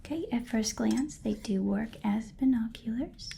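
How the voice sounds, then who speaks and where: A woman whispering softly and breathily in an ASMR roleplay as a playful, fussy appraiser of magical items. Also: a little high, a little slow, vocal fry, slight background noise. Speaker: ASMR performer.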